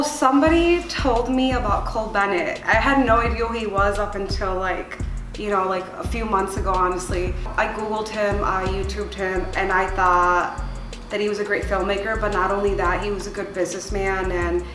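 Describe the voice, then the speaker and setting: A woman's voice: mid-range voice, fairly even intonation, moderate pace. A woman in her late twenties from El Salvador, raised partly in Chicago, talking casually and candidly in an interview, often saying like and you know. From El Salvador, moved to Chicago at age six. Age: late 20s. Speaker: music video creator being interviewed. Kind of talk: casual reflective interview talk. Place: small bright window-lined room, indoors. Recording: roomy echo with music underneath. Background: music.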